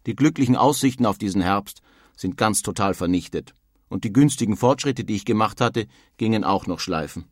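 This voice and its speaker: A man's voice: fairly low pitch, dry recording, studio quality, lightly acted. An actor playing a disillusioned 19th-century emigrant man, reading his letters home in formal, old-fashioned wording. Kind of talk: dramatic reading of historical letters.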